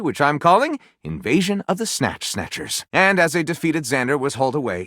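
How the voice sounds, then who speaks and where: A man's voice: medium pitch, fairly bright tone, no room sound, clean studio sound. A man voicing a theatrical, wry storyteller and snide critic in a video game, mock-grandiose and sardonic, as if narrating a storybook. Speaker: voice actor.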